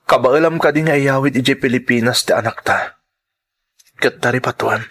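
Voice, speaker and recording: A man acting in an emotional drama as an earnest lover who pleads slowly to part. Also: medium pitch, fairly bright tone. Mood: pleading, apologetic. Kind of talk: Ilocano radio-style drama acting.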